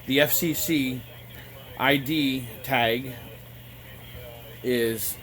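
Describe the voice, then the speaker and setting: A man giving an informal, halting explanation to camera as a hobbyist radio vlogger. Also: medium pitch, steady tone, a bit bright. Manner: halting, explanatory, apologetic asides. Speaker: amateur radio operator and vlogger. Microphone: phone front camera. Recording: noisy phone recording with background radio. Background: two-way radio sounding in background.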